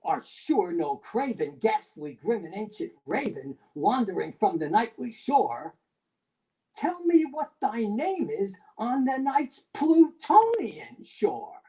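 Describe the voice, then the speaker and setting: A woman playing a haunted, anxious narrator of a gothic poem, halting and theatrically emphatic in a staged reading. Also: medium pitch, very animated, dark tone, husky, voice pushed down, exaggerated voice, low-quality recording. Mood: mock-grand questioning.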